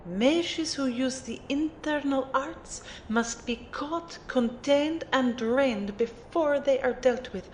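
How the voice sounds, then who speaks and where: In a roomy recording, an actress plays a refined female mentor of magic with a fairly high voice. She speaks formally and precisely, teasing like a playful teacher in an audio drama, and takes her time over every phrase. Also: slow pace, hardly any pauses. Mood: lecturing. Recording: roomy amateur recording, faint rumbling noise.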